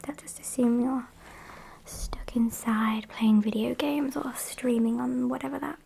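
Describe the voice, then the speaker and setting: A woman's voice: on the high side, exaggerated voice. Whispering British woman as a sassy vampire kidnapper. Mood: dry teasing. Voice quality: breathy whisper. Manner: unhurried, chatty, deadpan. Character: sassy female vampire kidnapper, dryly menacing yet polite. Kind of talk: whispered ASMR roleplay.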